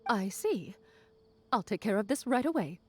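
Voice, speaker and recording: A woman's voice: mid-range voice, sing-song, moderate pace, husky. A woman voicing a polite, businesslike academy clerk in a video game.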